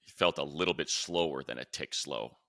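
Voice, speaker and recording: A man's voice: very deep voice, brisk, vocal fry, fairly bright tone, no room sound, clean studio sound. A man hosting a sports podcast, talking through football opinions in a casual, conversational style. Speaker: sports podcast host and radio play-by-play announcer.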